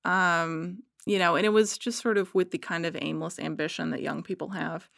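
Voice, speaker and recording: A woman podcast guest chatting casually and enthusiastically, often halting to search for words. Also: lowish voice, fairly even intonation, creaky, a bit bright, no echo, clean studio sound. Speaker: podcast guest, writer and illustrator.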